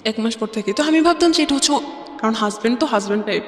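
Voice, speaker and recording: A woman with a Bangladeshi accent tells her own story as an interview guest, haltingly, repeating phrases and mixing in English words. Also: on the high side, animated. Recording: echoey reverb, faint music bed. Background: faint music.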